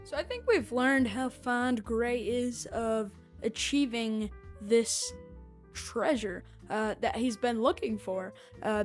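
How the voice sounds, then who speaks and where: High-voiced woman giving casual commentary. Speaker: podcast host reviewing an audio drama.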